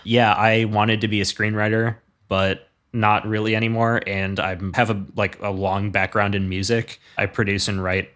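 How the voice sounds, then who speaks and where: Low-voiced young American man, casual podcast chat. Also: level tone, moderate pace, hardly any pauses, a bit bright. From Wisconsin, United States. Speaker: podcast co-host.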